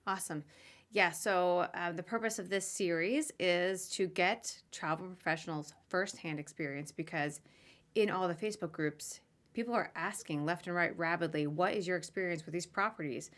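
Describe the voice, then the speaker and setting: A woman's voice: on the low side, moderate pace, a bit bright. A woman hosting a podcast as a casual live interview, chatty, informal and self-deprecating. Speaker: podcast host interviewing a guest.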